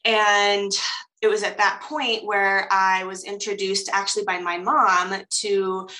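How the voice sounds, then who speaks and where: A woman's voice: mid-range voice, flat delivery, a little slow, bright, forceful tone, low-quality recording. An American woman with an American accent, a podcast guest telling her personal story in an interview. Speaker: podcast guest, coach and dancer.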